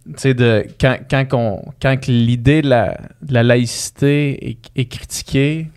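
A man's voice: medium pitch, steady tone, a little mellow, clean tone. A man with a Québécois French accent, hosting a podcast in a casual, chatty way. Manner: casual, chatty, quick backchannels. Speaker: podcast host.

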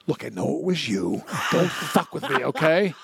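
Gravelly, higher-pitched man joking around. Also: normal speed, no echo. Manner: profane, animated joking with frequent laughter. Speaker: podcast co-host. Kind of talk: crude comedic storytelling and banter.

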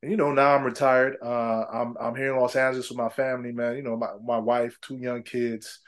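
A man's voice: medium pitch, level tone, brisk. A middle-aged man from Trinidad, a former pro basketball player, chatting casually as an interview guest, laughing often and saying "man" and "bro".

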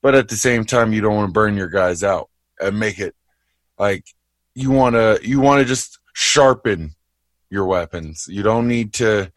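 A man with a raspy voice co-hosting a casual sports podcast, laid-back and talking about playing. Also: lowish voice, unhurried, no room sound. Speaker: basketball player and podcast co-host.

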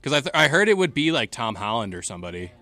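Bright-voiced man chatting on a podcast. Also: medium pitch, sing-song, fast talker, dry recording. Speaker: podcast host. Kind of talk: casual group discussion about video games.

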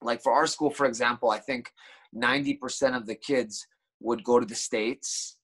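Man from Japan, interview guest, mid pitch, relaxed, halting storyteller. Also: husky. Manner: relaxed, halting, with many restarts and fillers.

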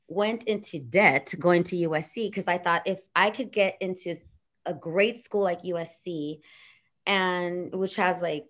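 A woman in her thirties with a Los Angeles accent, chatting as a podcast guest, bubbly and quick to laugh, rambling through asides. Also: lowish voice, fairly bright tone. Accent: Los Angeles regional. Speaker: radio and TV personality, guest. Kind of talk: casual podcast chat.

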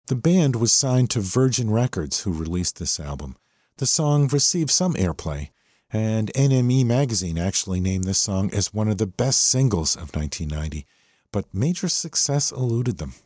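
A man with an American accent and a lowish voice presents a music podcast. His delivery is enthusiastic and very lively in pitch, like a fan guiding listeners through a favorite track. The sound is close and dry. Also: slightly rough, no room sound. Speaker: podcast host. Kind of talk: music appreciation podcast commentary.

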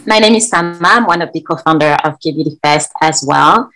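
A woman's voice: low-pitched, brisk, sharp tone. A woman from Senegal, a guest in a casual interview, telling stories in a chatty, eager way. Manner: chatty, enthusiastic storytelling. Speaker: guest, event co-founder. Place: lives in Korea.

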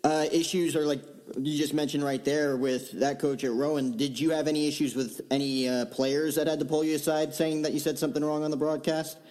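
A man's voice: a little high, flat delivery, fairly quick, darkish tone, low-quality recording. A man hosting an interview in a casual, conversational way. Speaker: interview host.

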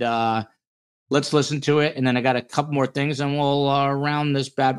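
A man hosting a casual true-crime panel podcast, talking in a blunt, teasing, jokey way. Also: medium pitch. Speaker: podcast host.

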